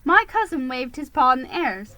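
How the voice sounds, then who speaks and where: A woman giving a dramatic, expressive reading of a first-person children's story. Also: high voice, moderate pace. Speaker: storybook reader.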